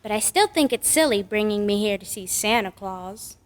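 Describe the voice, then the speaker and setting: A woman's voice: medium pitch, expressive, normal speed, lightly acted. A woman playing a frank, eager little child in an old-time radio drama. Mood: frank, curious.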